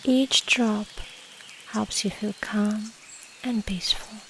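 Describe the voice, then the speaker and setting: A woman guiding a meditation in a soft, soothing, measured way with long pauses and breathy endings. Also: mid-range voice, poor audio. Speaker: meditation guide. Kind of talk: slow guided meditation. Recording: steady rain noise behind the voice. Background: rain and nature ambience.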